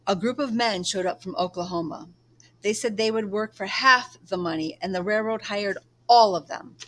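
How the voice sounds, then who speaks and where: A woman reading a children's book aloud, playing a frustrated young male labourer in a sharp, emphatic way. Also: mid-range voice, moderate pace, close to an everyday voice. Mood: bitter.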